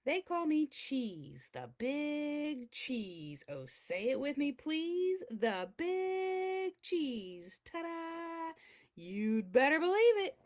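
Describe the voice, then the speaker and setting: A woman's voice: high voice, very animated, very slow, darkish tone, voice pushed up, exaggerated voice. A woman voicing a boastful, pompous chunk of cheddar cheese in a theatrical storybook read-aloud. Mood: boastful, showy. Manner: pompous, showy, theatrical delivery.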